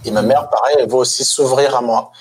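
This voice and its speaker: A man's voice: medium pitch, steady tone, fast talker, husky. A man in his thirties in a panel discussion, reflecting on his own experience. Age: 30s. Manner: reflective, personal storytelling. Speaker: panel guest. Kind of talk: casual debate.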